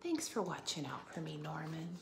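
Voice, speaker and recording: A woman voicing a young boy from a storybook who is slowly warming to his pet goldfish. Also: low voice, expressive, gravelly. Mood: fond. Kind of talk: storybook read-aloud. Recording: roomy echo, faint background noise.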